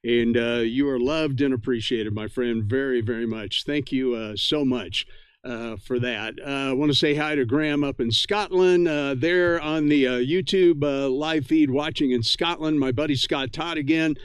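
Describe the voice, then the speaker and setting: A man casually hosting a live ham radio net, friendly and effusively thankful, with halting, filler-heavy speech. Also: medium pitch, smooth, no room sound.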